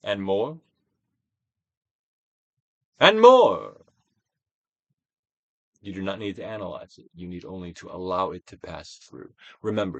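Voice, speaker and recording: Male stage-actor narrator for a guided self-help reading. Grand, declamatory, very wide melodic range, drawn-out emphasis, recorded dry. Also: on the low side, no echo, muffled recording. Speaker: audiobook reader, actor. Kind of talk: theatrical dramatic reading of a self-help book.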